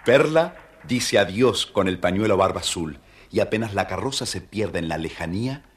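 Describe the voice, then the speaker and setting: A deep-voiced man tells a children's fairy tale. His scripted narration is expressive and theatrical. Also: moderate pace, slight background noise. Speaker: storyteller, narrator.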